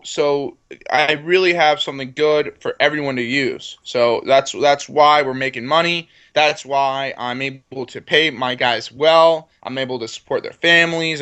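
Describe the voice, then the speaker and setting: Male, 20s, higher-than-average pitch. Cocky young entrepreneur being interviewed, emphatic and repetitive for effect. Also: fairly bright tone. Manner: self-assured, boastful. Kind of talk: conversational business pitch in an interview.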